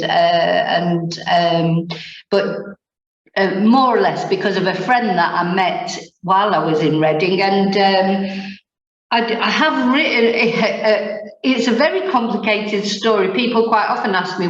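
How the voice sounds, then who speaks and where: A woman's voice: medium pitch, roomy sound, lo-fi sound. A woman in her forties from Manchester with a Mancunian accent, a language teacher telling her story as a guest in a casual interview, rambling with fillers and small chuckles. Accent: Mancunian English. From England.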